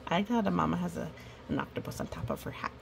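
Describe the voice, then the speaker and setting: A woman's voice: lowish voice, huge pitch swings, very fast, creaky, a little hiss or hum. A woman hosting a chatty picture-book storytime, with playful, rambling asides. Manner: playful, rambling asides with little sung bits and hums. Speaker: storytime reader and host.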